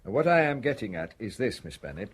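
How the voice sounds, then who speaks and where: An actor playing a courteous, probing English police inspector in a radio drama, measured and politely hesitant. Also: male voice, fairly low pitch, big pitch swings, breathy edge. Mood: measured, insistent.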